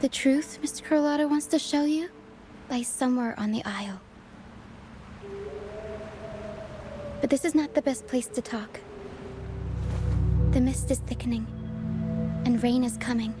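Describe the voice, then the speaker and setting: A woman voicing a gentle, helpful young girl in a video game, soft-spoken and earnest with a playful streak. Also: high voice, normal speed, pauses often, over music, natural voice. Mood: concerned, earnest. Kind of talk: video game cutscene voice acting.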